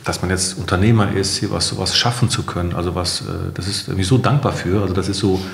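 A middle-aged man talks through his answers as an interview guest. His voice sits fairly low, and the room adds a clear echo. The style is conversational. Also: steady tone, fast talker. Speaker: guest, company co-founder. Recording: roomy echo.

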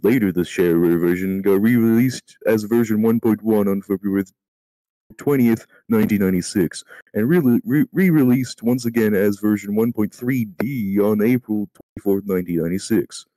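A man's voice: fairly low pitch, normal speed, warm tone, no echo, poor audio. A man reading an encyclopedia article aloud, stumbling over words and swearing casually at his slips. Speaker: amateur reader in a group voice call.

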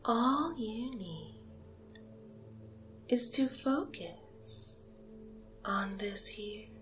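Lady doing a snooty alien cow hypnotist, whispery asmr, super slow n drawn out, soft music under it. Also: medium pitch, expressive. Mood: hypnotic, soothing. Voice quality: breathy, mostly whispered. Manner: slow, hypnotic, drawn-out phrasing with long pauses. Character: haughty, mesmerizing female alien cow hypnotist. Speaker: ASMR roleplay performer.

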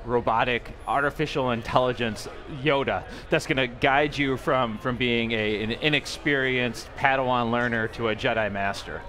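A man's voice: mid-range voice, moderate pace, few pauses, sharp tone. A man in his thirties demoing a toy as a company guest at a convention booth, eager, often repeating words as he goes, on a lapel mic. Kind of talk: product demo interview. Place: booth at a busy convention hall.

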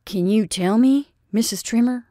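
A woman voicing an earnest old male sea captain who talks in loud, salty seafaring phrases. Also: a little high, moderate pace, dry recording, clean studio sound, voice pushed up, close to an everyday voice.

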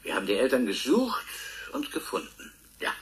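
A proud professor, vividly modulated. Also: male voice, mid-range voice, huge pitch swings. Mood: explanatory, precise. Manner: pointed, pedantic, deliberate emphasis with hesitations. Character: proud, defensive male professor, Nobel-winning scientist. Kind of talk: radio drama played as a broadcast interview.

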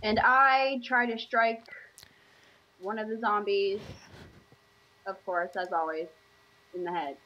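A woman's voice: medium pitch, fairly even intonation, slow pace, pauses often, dull audio. A woman playing a tabletop role-play, talking in blunt, casual banter. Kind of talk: casual banter during a game.

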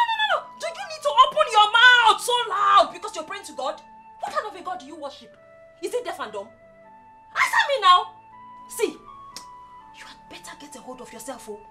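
A shrill, scornful young wife. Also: female voice, full character voice. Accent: Nigerian. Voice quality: shrill, scolding. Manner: taunting and shouting.

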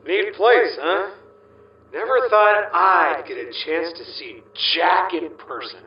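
A man's voice: high voice, slow pace, lo-fi sound. A man playing a hesitant, goofy, easily tempted spy ghost in an audio drama, with an American accent and slangy talk. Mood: casual. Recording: roomy, distant.

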